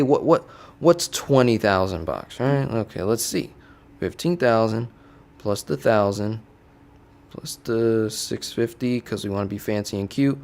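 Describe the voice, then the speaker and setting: A man casually walking viewers through startup costs as a finance educator, playful and chatty, constantly checking in with "right?". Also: mid-range voice. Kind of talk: casual teaching walkthrough. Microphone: studio desk mic.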